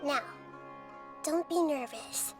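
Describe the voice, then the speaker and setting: A woman voicing a gentle, wise little girl deity in a video game, speaking in a calm, measured, formal way. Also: sits high. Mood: soothing. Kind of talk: scripted video game voice acting. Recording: music playing under the voice.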